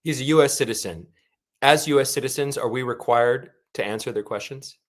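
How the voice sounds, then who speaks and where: A man hosts a news talk show in a conversational style. His voice sits at a medium pitch, with an ordinary pace and tone. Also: fairly quick. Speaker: host.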